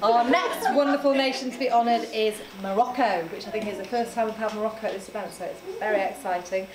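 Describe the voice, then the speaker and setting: A woman's voice: medium pitch, steady tone, fast talker. A woman hosting an art salon, introducing speakers in an effusive, chatty way. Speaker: event host. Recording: echoey hall, distant mic, crowd noise.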